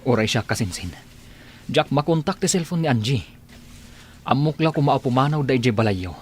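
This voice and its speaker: A man's voice: mid-range voice, animated, moderate pace, slightly rough. A man with an Ilocano accent playing an anxious, lovelorn young man in a radio drama. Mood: anxious, longing.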